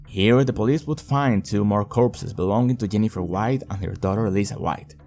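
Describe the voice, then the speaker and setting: Deep-voiced man narrating horror, music behind. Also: creaky, a little mellow. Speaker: host of a video reviewing an analog horror series. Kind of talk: scripted horror narration with casual commentary asides.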